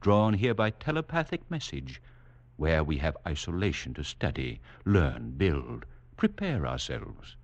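Radio play casting: a very low-voiced superhuman doctor, patronizing and assured, with hugely sweeping melodic delivery. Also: male voice, huge pitch swings, dull audio, exaggerated voice. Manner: condescending, lofty, measured, didactic. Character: serenely superior mutant doctor, next stage of man. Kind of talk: 1940s radio acting.

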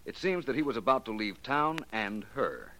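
An actor playing a suave, confident American detective in 1940s radio drama style, speaking deliberately and with assurance. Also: male voice, mid-range voice, big pitch swings, bright, forceful tone, a little hiss or hum. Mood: assured explaining. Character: confident, shrewd male private detective explaining a solved case. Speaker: lead actor.

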